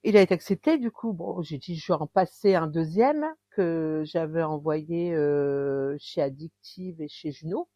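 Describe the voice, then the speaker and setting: Woman in her fifties, from northern France, hesitant and halting, lowish mellow voice, dry sound. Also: no room sound, dull audio. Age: 50s. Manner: hesitant, many pauses and restarts. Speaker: romance author, guest. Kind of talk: casual interview chat.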